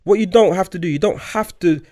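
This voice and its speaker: A podcast host with a voice pitched a little higher than most men. He talks in casual bursts, stumbles over repeated words and presses his point over the others. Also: brisk, a little mellow. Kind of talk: casual chat.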